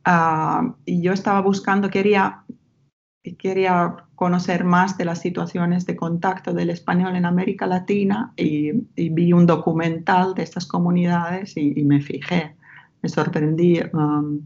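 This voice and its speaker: A woman's voice: fairly low pitch, level tone, unhurried, a little mellow. A woman researcher from Finland with a Finnish accent, whose first language is Finnish, speaking thoughtfully in an academic interview with frequent restarts. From Finland, Ostrobothnia. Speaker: researcher guest. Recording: slightly lo-fi.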